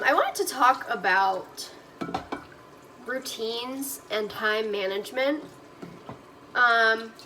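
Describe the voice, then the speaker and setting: A young woman with a naturally quiet, soft voice, chatting casually and rambling with lots of ums while she does the dishes at home, talking into a phone propped on the windowsill. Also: on the high side, poor audio. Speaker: vlogger and online reseller. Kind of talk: casual vlog chat while doing dishes. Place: home kitchen at the sink, water running.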